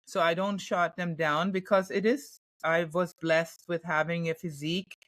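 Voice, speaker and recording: A woman's voice: on the low side, fairly even intonation, moderate pace, fairly bright tone, no echo. A woman from Germany with a German accent, an actress chatting in an interview with lots of fillers and restarts. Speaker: actress, podcast guest. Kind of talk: casual interview answers.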